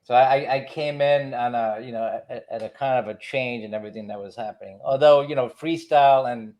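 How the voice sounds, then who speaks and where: A middle-aged man from Cuba, raised partly in the United States, reminiscing casually as a DJ guest in a relaxed, halting way. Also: mid-range voice. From born in Cuba, moved to the United States as a child. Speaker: DJ, podcast guest.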